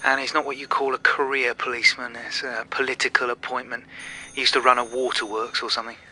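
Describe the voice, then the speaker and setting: A man acting in a radio drama as a sober chief inspector, terse and deadpan, heard as if over a telephone. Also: mid-range voice, fast talker, a little hiss or hum, rough recording. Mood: dry, deadpan. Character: sober, dry male chief inspector on a case.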